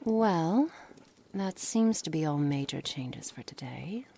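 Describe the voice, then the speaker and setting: A woman playing a cold, curious, menacing witch in an ASMR roleplay, speaking formally and condescendingly, often dropping into breathy near-whispers. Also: deep voice, animated, slow pace, warm tone, heavily acted. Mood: coolly threatening. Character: cold, menacing, curious witch experimenting on her captive pet. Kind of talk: ASMR roleplay, scripted dramatic monologue. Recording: noisy background ambience.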